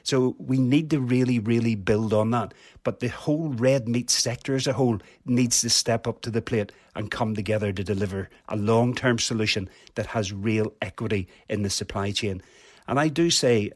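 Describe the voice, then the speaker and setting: A man's voice: fairly low pitch, no room sound. An earnest man talking as a guest on a podcast, pressing his points with emphasis. He often restarts and repeats words mid-sentence. The sound is dry and close, with no room around it. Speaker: red meat industry guest. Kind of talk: podcast interview answers.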